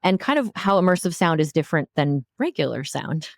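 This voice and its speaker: A woman's voice: low voice, very animated, a little fast, no echo. A woman hosting a casual interview, encouraging and attentive toward her guest. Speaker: podcast host. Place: remote recording over internet software.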